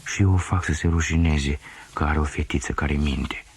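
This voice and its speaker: A man's voice: very deep voice, fairly even intonation, breathy edge, exaggerated voice. A man voicing a little girl in a children's story, innocent and eager to explain herself. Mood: remorseful.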